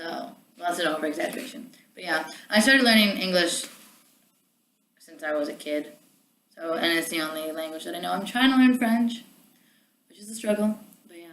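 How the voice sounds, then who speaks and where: A woman's voice: fairly low pitch, normal speed, pauses often, fairly bright tone. A young Canadian woman, a student, chatting casually and laughing easily. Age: young adult. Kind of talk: casual conversation. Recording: roomy room sound.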